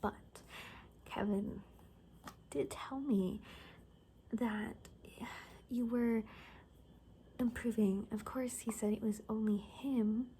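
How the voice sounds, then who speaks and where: A woman playing a gossipy, rambling zombie apocalypse survivor in a soft-spoken, whispery ASMR roleplay, talking hesitantly and conspiratorially. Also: fairly high pitch, fairly even intonation, slow, long pauses, a little hiss or hum, rough recording. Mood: conspiratorial, concerned.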